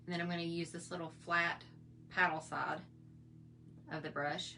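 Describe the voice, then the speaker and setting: Chatty, slightly hoarse woman doing makeup. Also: lowish voice, level tone, moderate pace, bright, forceful tone, slight background noise. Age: middle-aged. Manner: chatty, rambling, folksy. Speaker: makeup vlogger. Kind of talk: casual makeup tutorial chat.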